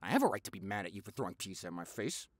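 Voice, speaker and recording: Guy acting as a chatty teasing boyfriend for audio rp, super dramatic, pitch all over the place, close dry sound. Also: big pitch swings, very fast, slightly rough, no room sound, heavily acted. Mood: mock offended. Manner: theatrical, exaggerated, teasing, chatty. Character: gossipy, playful, affectionate young boyfriend. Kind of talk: boyfriend audio roleplay, acted monologue.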